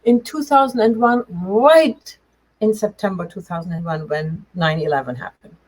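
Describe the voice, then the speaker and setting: A middle-aged woman with a German accent and a slightly husky voice, telling her personal story as an interview guest in a halting, emphatic way. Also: medium pitch, a little mellow, rough recording. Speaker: interview guest, change coach. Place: remote video call.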